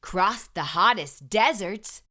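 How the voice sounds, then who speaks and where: A woman reading a storybook aloud to young children, with expressive, emphatic delivery and deliberate phrasing. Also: mid-range voice.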